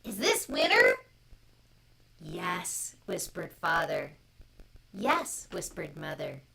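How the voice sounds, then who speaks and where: Female storytime reader, low and drowsy, with hugely swinging pitch, for gentle picture-book narration. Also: huge pitch swings, slow pace, vocal fry, very noisy. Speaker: storytime co-host reading aloud. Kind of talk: bedtime picture-book reading.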